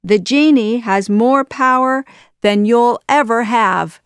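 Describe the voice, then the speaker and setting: A woman voicing a brave, quick-witted young male hero in a storybook, bold and urgent. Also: mid-range voice, a little mellow, dry recording, clean studio sound.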